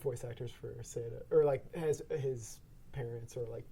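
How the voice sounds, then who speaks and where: Mellow, hesitant man on a podcast. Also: medium pitch, steady tone, normal speed, slight background noise. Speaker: podcast guest. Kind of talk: casual round table chat.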